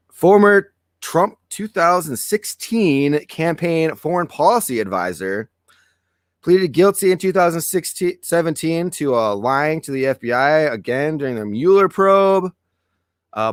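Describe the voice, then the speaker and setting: A man co-hosting a casual political podcast who reads news items aloud, keeps clearing his throat and gives blunt opinions, and whose voice is going hoarse. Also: a little high, no room sound, studio quality. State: losing his voice. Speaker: podcast co-host.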